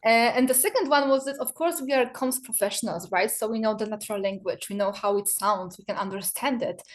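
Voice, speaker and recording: A woman's voice: fairly high pitch, brisk. A woman from Poland answering on an online panel, speaking with many fillers and restarts. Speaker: PR association board member. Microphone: video call.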